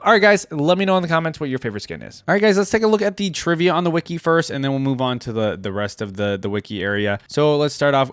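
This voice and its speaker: A man's voice: mid-range voice, no echo, studio quality. A man hosting a reaction video, giving casual, conversational commentary and thinking aloud between bits of reading.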